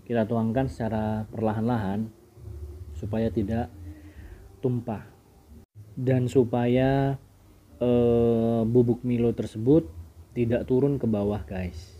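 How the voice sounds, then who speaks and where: A man narrating a casual home drink-making tutorial in a relaxed way. He keeps addressing viewers as "guys" and often lets his sentences trail off quietly. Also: mid-range voice, takes it slowly, pauses often, muffled recording. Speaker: home cooking video host.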